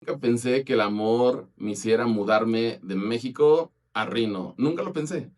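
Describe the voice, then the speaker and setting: A man's voice: mid-range voice, brisk, a little mellow, recorded from a distance. A man from Mexico with a Mexican accent, speaking heartfelt about moving for love. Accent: Mexican Spanish. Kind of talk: casual testimony.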